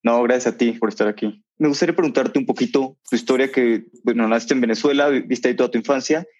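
Fast-talking male podcast host. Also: medium pitch, a little mellow, dry recording, muffled recording. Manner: brisk, friendly, playful greeting of listeners. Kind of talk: podcast intro and interview questions.

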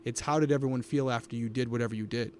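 A man's voice: medium pitch, steady tone, very fast, a little mellow, slight background noise. A man co-hosting a live podcast recap, talking to his co-host and the chat with emphatic opinions on a studio microphone. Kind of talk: casual live-stream chat.

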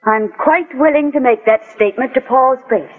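A woman's voice: high voice, moderate pace, narrow, tinny audio. A woman playing an assertive, haughty society lady, formal and dramatic, in 1940s radio drama style. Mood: accusing. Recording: band-limited old 1940s radio recording.

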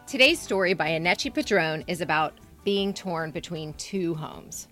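A woman's voice: on the low side, animated, moderate pace, a bit bright. Woman podcast host over music. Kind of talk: scripted podcast narration.

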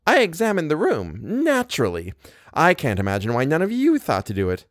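A man's voice: fairly high pitch, wildly swooping pitch, a little fast, dry recording, clean studio sound, pitched above the performer's own voice. A man voicing a haughty, bossy older wife in an audiobook, with an imperious, emphatic, self-important manner. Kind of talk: audiobook character voice.

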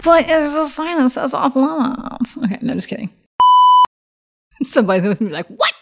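A woman from the Chicago area with a Midwest accent touched by a Texas drawl, talking fast, slurring words and laughing often in casual tabletop podcast banter. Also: sits high, very animated, creaky, very noisy. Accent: Chicago-area Midwest with a hint of Texas drawl. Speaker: podcast co-host and tabletop player. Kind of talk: casual blooper-reel banter.

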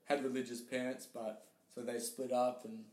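A man with an Australian accent, a guest telling his story in casual interview chat, relaxed and hesitant with many fillers. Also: medium pitch, normal speed. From Tasmania, Australia. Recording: roomy echo.

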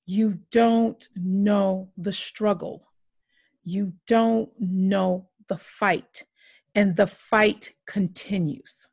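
A woman in her forties talks with passion and weight, pressing her points through repetition. Her voice carries a gravelly fry, and the sound is close and dry. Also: medium pitch, fairly even intonation, drawn-out words, darkish tone, dry recording. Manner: impassioned, emphatic repetition. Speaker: podcast host. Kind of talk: earnest podcast conversation.

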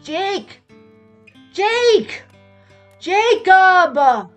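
Woman acting a snappy, exasperated young mother, high-pitched. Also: sing-song, drawn-out words, lo-fi sound, soft background music, full character voice. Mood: calling out urgently. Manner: snappy, emphatic. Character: exasperated, desperate thirty-one-year-old mother, snappish and impatient.

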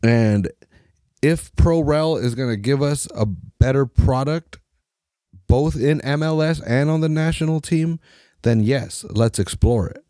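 A man from Mexico, hosting a podcast in a profane, animated rant full of mocking voices. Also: mid-range voice, unhurried, no echo, studio quality. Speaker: podcast host. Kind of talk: casual opinionated rant.

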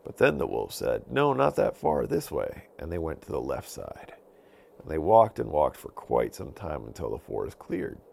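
A male storyteller narrates a children's bedtime tale. His voice is low and very creaky, and it rises and falls dramatically as he tells it. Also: sing-song, normal speed, a little mellow, a little hiss or hum. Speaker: storyteller, podcast host.

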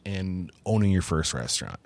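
A man's voice: sits low, animated, moderate pace, creaky, dry recording. A man hosting a podcast who asks probing questions and sums up the lessons in a coaching tone. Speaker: podcast host. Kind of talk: casual interview questions.

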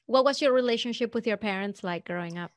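Upbeat high-voiced woman podcast host. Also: fast talker. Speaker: podcast host and business coach. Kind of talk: scripted intro then interview chat.

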